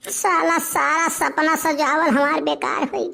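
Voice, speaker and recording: A woman's voice: sits high, steady tone, slow, gravelly, sharp tone, exaggerated voice. A woman voicing a cheeky, lovesick, idle young village man in a comic cartoon, whining and arguing in an Awadhi accent. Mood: dejected.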